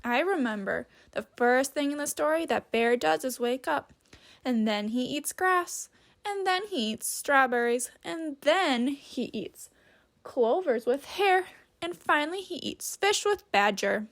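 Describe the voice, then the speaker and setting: A woman reading a storybook aloud in a deliberate, instructive teacher's manner, pausing to ask questions. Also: sits high, lively intonation, fairly bright tone.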